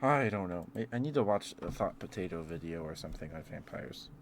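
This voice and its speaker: A man with a fairly low voice talks in a casual ASMR ramble. He thinks out loud, hesitating and leaving thoughts half finished. There is some audible background noise in the recording. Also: expressive, fairly quick. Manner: hesitant, musing aloud with trailing thoughts. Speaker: streamer.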